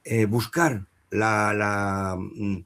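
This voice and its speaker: Brief: middle-aged man, low voice, dubbing director at a panel, hesitant storytelling. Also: level tone, very slow, fairly bright tone. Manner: anecdotal, many fillers and restarts. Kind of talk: panel talk at a professional meeting.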